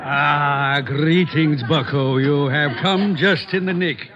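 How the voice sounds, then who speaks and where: An actor playing a grandiloquent, boozy barfly informant, speaking in pompous mock-archaic phrases with drawn-out words in an old radio drama. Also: male voice, on the high side, steady tone, a crowd in the background. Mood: florid, amused. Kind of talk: 1940s radio acting. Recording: dull old radio recording.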